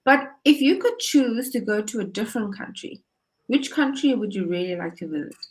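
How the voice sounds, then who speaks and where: A woman's voice: a little high, animated, moderate pace, low-quality recording. A woman tutoring English over a video call, upbeat and encouraging, often laughing as she explains. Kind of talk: casual conversation lesson.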